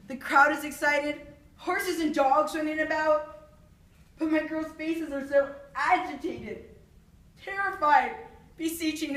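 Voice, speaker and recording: A young woman performing a theatrical monologue as an anguished, philosophizing army officer and father, declaimed with dramatic pauses. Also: high-pitched, fairly bright tone. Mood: agitated, anguished. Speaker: student actor. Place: echoey room, far from the microphone. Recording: roomy echo, distant microphone.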